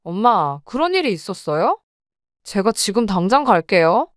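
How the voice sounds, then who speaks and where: Devoted adult daughter, played by a woman, earnest and protective, smooth and clear tone, dry close mic. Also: medium pitch, big pitch swings, normal speed, dry recording, natural voice. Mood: earnest, worried. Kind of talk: audio drama acting.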